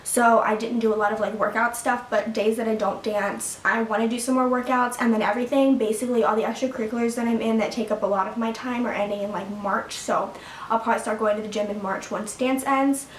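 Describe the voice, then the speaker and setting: A teenage girl with a fairly high voice rambles casually to camera, full of fillers and quick laughs. She sits back from the mic in an echoey room, with a faint rumble underneath. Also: steady tone, recorded from a distance. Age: teens. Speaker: vlogger. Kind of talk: casual sit-down chat to camera. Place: her room at home.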